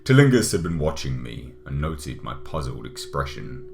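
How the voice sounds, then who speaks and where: A man narrating a gothic horror audiobook in a measured, dramatic manner. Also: extremely low pitch, big pitch swings, vocal fry, background noise. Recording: music under the voice.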